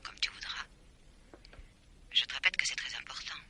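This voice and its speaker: Insistent, secretive woman, bright voice. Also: moderate pace, slight background noise. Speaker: radio drama actress. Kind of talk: French radio mystery drama acting.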